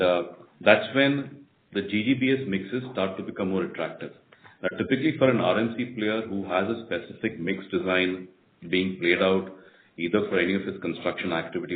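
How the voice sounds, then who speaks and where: An Indian-accented man, a company executive, explaining results in a measured way on an earnings call. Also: deep voice. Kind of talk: answering questions on an earnings call. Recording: echoey narrowband conference phone line, faint noise.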